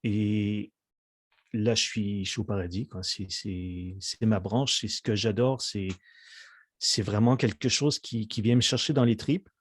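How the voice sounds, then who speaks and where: A man's voice: on the low side, steady tone, measured pace, husky, no echo. A middle-aged man from Quebec, chatting as an interview guest and often hesitating over fillers and restarts. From Quebec, Canada. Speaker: musician guest. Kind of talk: casual interview chat.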